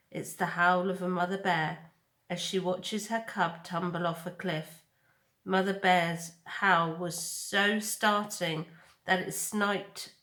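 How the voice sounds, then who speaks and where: A woman reading children's fiction aloud as a deliberate, expressive storyteller. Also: lowish voice. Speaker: storybook reader. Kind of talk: dramatic read-aloud narration of children's fiction. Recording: echoey room.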